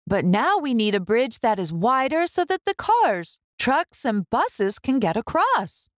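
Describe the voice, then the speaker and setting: A woman playing a high-voiced, earnest storybook engineer. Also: big pitch swings, a little slow, no echo, clean studio sound.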